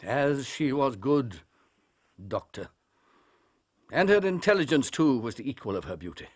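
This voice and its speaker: Older english doctor in a radio play, grave n proud, really animated delivery, earnest. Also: male voice, mid-range voice, a little slow. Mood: proud, indignant. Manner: emphatic, earnest, formal. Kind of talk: British radio drama acting.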